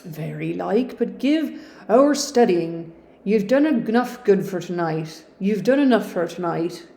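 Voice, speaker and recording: A woman's voice: mid-range voice, lively intonation, measured pace, vocal fry, dark tone, echoey room. A woman voicing an old Yorkshire servant woman in a storybook reading, talking in broad dialect, drawn out and emphatic. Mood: gentle. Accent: Yorkshire, put on.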